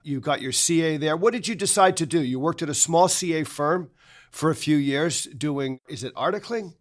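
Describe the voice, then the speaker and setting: A man's voice: a little high, normal speed. A middle-aged man hosting a podcast interview, asking friendly, leading questions. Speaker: podcast host.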